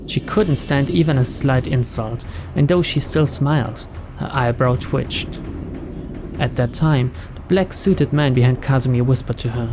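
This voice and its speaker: A woman's voice: very deep voice, a little slow, background noise. A woman reading visual novel text aloud as dramatic narration for a gaming channel. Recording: loud game music behind voice.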